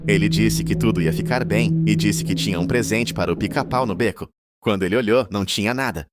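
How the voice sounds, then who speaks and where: A Brazilian man with a fairly low voice hosts and narrates a horror tale. He speaks as a storyteller addressing his viewers, at an even, ordinary pace. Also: a little fast, heavy background noise. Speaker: narrator and host.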